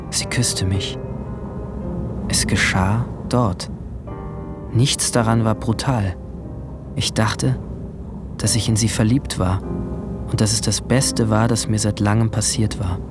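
A young man playing a brooding, guilt-haunted seventeen-year-old boy, narrating his story in measured, introspective short sentences in a radio drama. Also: fairly low pitch, fairly even intonation. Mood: wistful, numb. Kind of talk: literary first-person radio drama narration. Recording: music bed behind the voice.

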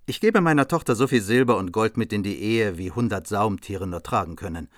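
Male actor as a gracious noble margrave in a radio play, low, formal and courteous. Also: animated, a little fast, no echo, studio quality, lightly acted. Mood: courtly. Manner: dignified, courteous. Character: noble old margrave, generous and courtly host.

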